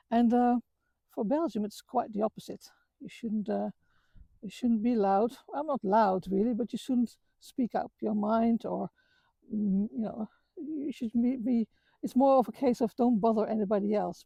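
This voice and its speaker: A middle-aged woman from the Netherlands with a Dutch accent, talking earnestly about her life with many halting restarts. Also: fairly high pitch, creaky, dark tone, slightly rough, dry recording, rough recording. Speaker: vlogger. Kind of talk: reflective personal talk. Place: outdoors on a walk.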